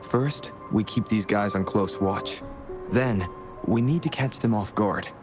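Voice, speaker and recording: A man's voice: on the low side, unhurried, over music, natural voice. A man playing a composed, methodical and serious strategist in a video game. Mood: calm, planning.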